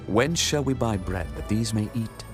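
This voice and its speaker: An actor playing a calm, authoritative male teacher in a dramatized scripture reading, speaking in a measured, solemn way. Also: lowish voice, over music, lightly acted.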